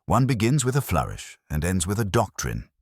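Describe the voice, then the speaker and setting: A pompous older village matron, played by a male narrator, holding forth at a committee in a radio mystery. The delivery is precise and decisive, with swooping intonation and a husky edge. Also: sits low, huge pitch swings, normal speed, no room sound, studio quality, voice pushed up, heavily acted. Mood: prim, decisive. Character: prim, imperious older woman chairing village committee.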